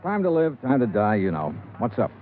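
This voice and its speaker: An actor with an American accent playing a wry, laconic, tough investigator in an old radio detective drama. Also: male voice, medium pitch, sing-song, normal speed, a little hiss or hum, natural voice. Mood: wry, cool. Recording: dull old radio recording.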